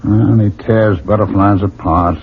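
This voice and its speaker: An actor playing a weary, worried academic husband in a 1970s radio drama, earnest and expressive, with an exasperated edge. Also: male voice, fairly low pitch, unhurried. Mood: troubled, insistent. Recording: tinny, noisy 1970s radio broadcast recording.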